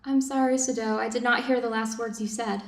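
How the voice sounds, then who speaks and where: A woman's voice: fairly high pitch, steady tone, fairly quick, natural voice. A woman playing an anxious, dutiful Japanese doctor's wife and mistress of servants in a staged play reading. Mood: gentle. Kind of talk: staged readers' theater acting. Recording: echoey room, distant microphone.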